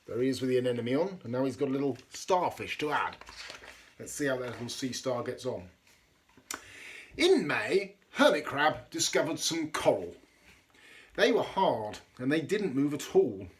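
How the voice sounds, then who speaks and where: A man reading a children's storybook aloud expressively, with dramatic pauses and chatty asides for young listeners. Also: mid-range voice, big pitch swings, a little hiss or hum. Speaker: storybook reader.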